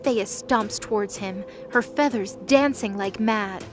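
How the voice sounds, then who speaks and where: A woman's voice: medium pitch, measured pace, faint music behind. A woman reading dramatic scripted narration for a fantasy audio drama.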